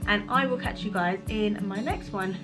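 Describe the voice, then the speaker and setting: A woman's voice: mid-range voice, normal speed, over music. A woman, answering viewer questions in a casual vlog, frank and chatty, filmed close up in a small room at home. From Congo. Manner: chatty and frank, talking straight to camera. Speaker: vlogger. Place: bedroom nursery, indoors. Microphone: camera at close range.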